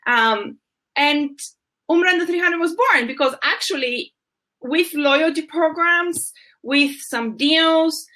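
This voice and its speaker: A woman from Bulgaria talks as a guest in an easygoing chat, enthusiastic and full of fillers. Her voice is high and bright. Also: slow pace. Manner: enthusiastic, warm storytelling with many fillers. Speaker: travel blogger guest. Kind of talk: casual chat.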